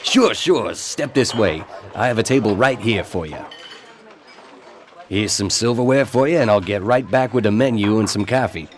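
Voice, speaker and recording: An actor playing a brisk, businesslike restaurant waiter with a tough streak in a radio play. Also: male voice, on the low side, a little hiss or hum, natural voice. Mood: polite, obliging. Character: courteous restaurant waiter who turns tough and menacing.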